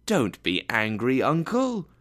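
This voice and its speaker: Storybook role: warm, gleeful young nephew, earnest, raised pitch, voiced by a male reader. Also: wildly swooping pitch, slow.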